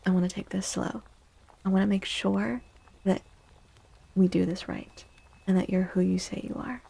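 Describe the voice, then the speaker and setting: A woman reading tarot as a livestream host in a soft-spoken, near-whispered ASMR style, gentle and reassuring, close to the microphone. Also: medium pitch, little pitch movement, moderate pace, vocal fry, husky.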